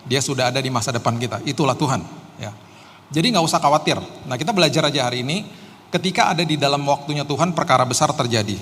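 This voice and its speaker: A middle-aged Indonesian pastor giving a sermon with personal testimony, casual and joking, with many hesitant "eh"s. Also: male voice, medium pitch, fast, a bit bright, slight background noise. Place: church hall. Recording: long hall reverb, faint ambience.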